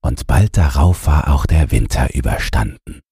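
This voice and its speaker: Very deep, husky, slow-reading man. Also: expressive, mellow, no room sound, studio quality. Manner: measured, drawn-out phrasing. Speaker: audiobook reader. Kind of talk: slow bedtime storybook narration.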